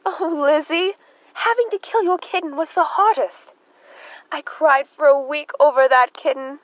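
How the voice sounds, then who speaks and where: A young woman playing a devout, unhinged murderous sister in an audio drama, with halting, fervent delivery. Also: high-pitched, no room sound, lo-fi sound. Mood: bitter, mournful. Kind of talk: high school audio drama acting.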